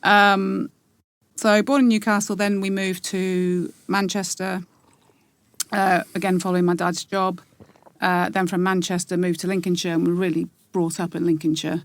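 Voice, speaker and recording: A woman in her forties talks through her past as a podcast guest, in a slightly low voice. She hesitates and restarts often, thinking aloud. The sound is dry and close. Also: level tone, normal speed, no echo. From England. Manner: reflective, hesitant, many fillers and restarts. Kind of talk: casual interview.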